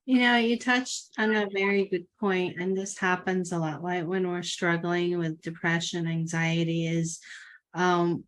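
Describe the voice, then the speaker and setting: Female host, fairly low voice, slow and unhurried, reflective and coaching. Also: flat delivery. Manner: thoughtful, coaching, affirming. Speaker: podcast host. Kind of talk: interview conversation.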